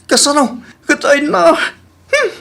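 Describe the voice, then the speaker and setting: A man's voice: very high voice, very animated, takes it slowly, creaky, fairly bright tone, exaggerated voice. A man playing an exasperated husband in a comedy radio drama, full of complaints and incredulous questions. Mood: fed up, complaining. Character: exasperated husband fed up with his spendthrift wife.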